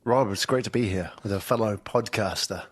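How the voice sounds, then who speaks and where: A man's voice: lowish voice, fairly quick, breathy edge. A man with an English accent, a podcast guest speaking remotely in a thoughtful, storytelling way. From England. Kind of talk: conversational interview. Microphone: remote call from afar.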